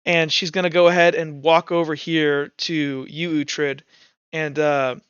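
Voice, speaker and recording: A man narrating a tabletop roleplaying game as game master, improvising in halting phrases with pauses mid-sentence. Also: on the high side, little pitch movement, fairly bright tone, clean tone, no room sound, dull audio.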